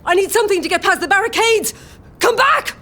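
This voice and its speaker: An actress playing the desperate wife of a condemned rebel in an emotional audio drama. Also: female voice, very high-pitched, lively intonation, fairly quick, pushed and bright, exaggerated voice. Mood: frantic pleading.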